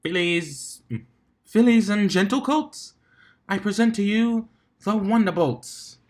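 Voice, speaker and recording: A man's voice: high voice, slow, pitched above the performer's own voice. Man putting on a proclaiming pony announcer.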